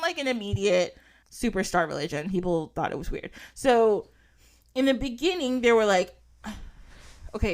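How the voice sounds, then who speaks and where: A woman hosting a podcast, talking in a chatty, casual way with lots of fillers and frequent giggles, sometimes reading from an article. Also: medium pitch. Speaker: podcast host.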